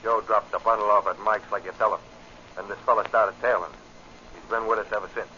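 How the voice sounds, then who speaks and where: An actor playing a gruff, tight-lipped gangster henchman in a 1940s radio drama, terse and impatient. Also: fairly low pitch, fairly even intonation, brisk, sharp tone. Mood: gruff, wary. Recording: noisy, muffled old radio recording.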